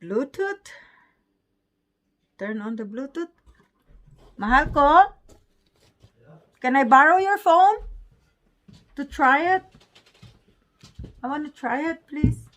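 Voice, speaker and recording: Woman, Filipino accent, slightly high pitch, very unhurried delivery, casual vlog chat, simple non-native English. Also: expressive, fairly bright tone. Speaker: vlogger.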